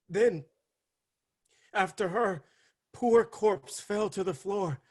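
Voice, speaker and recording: An actor playing a grim palace messenger, giving a grave and theatrical recitation in a staged reading held over a video call. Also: male voice, sits high, fairly bright tone, breathy edge, exaggerated voice. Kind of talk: staged reading of a Greek tragedy.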